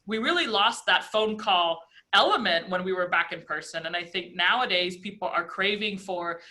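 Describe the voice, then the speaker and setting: A woman's voice: fairly low pitch, normal speed, pushed and bright. A woman on a video call panel giving earnest coaching advice, filling her talk with "you know" and "right".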